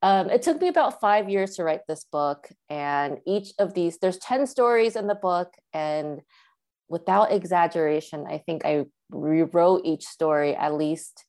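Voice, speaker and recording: A woman's voice: fairly low pitch, expressive, a little slow, darkish tone. A woman author from Taiwan, whose first language is Mandarin Chinese, answering interview questions thoughtfully, with fillers and restarts. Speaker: author guest.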